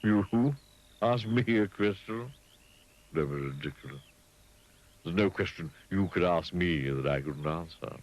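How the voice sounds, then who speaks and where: A man's voice: low-pitched, measured pace, long pauses. A man voicing a smug, rude male pig who scoffs and sniggers.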